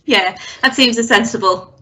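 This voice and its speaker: A woman's voice: fairly high pitch, normal speed, bright, forceful tone, slight background noise. A woman hosting an author interview, asking conversational questions as a librarian. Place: online video event.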